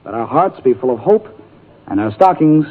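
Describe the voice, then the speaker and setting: A man's voice: mid-range voice, very animated, normal speed. A young man with an eager, boyish delivery, co-hosting a 1940s radio comedy show. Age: young adult. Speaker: comedy co-host. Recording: tinny 1940s radio broadcast with audience. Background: studio audience laughter.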